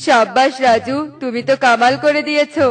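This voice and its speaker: A woman's voice: sits high, steady tone, fairly quick, lightly acted. A woman voicing a greedy, scheming male crow in a cartoon, with a wheedling, taunting manner. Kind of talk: cartoon character voice acting.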